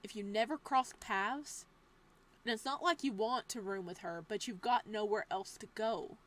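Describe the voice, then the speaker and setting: A woman's voice: mid-range voice, moderate pace, a bit bright. A woman reading a horror visual novel aloud, breaking off for playful, joking asides. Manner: playful asides between narration. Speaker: game streamer.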